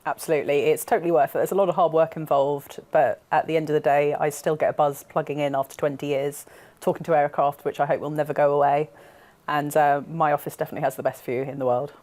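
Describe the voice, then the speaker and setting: Woman, low pitch, soft dark tone, conversational explainer. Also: little pitch movement, fast talker. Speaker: air traffic controller.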